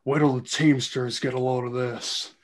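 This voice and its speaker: A man voicing a wary, wisecracking hero with a husky voice and halting, eccentric delivery in an amateur script reading. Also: medium pitch, flat delivery, close to an everyday voice. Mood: wry.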